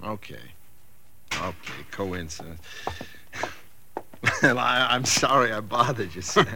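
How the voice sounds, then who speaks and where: A man's voice: fairly low pitch, animated, slow pace, noisy background. An actor playing an earnest, uneasy male rental agent in a 1940s radio drama, with an insistent manner. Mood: sheepish, friendly.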